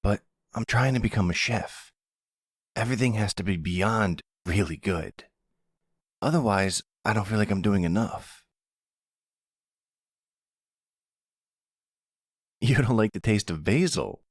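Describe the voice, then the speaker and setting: A man's voice: lowish voice, lively intonation, moderate pace, slightly rough, no room sound, studio quality. A man playing a young son in a roleplay audio drama, casual, teasing and blunt, protective of his family. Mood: earnest, amused. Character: cheeky, protective young son, an aspiring chef.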